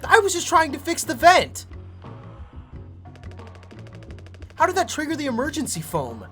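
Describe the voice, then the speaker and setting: A woman voicing an eager, naive young male intern in a game dub, excitable and slangy. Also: high-pitched, brisk, faint music behind, heavily acted. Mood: flustered. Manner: excitable, casual slangy speech. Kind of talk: game fandub voice acting.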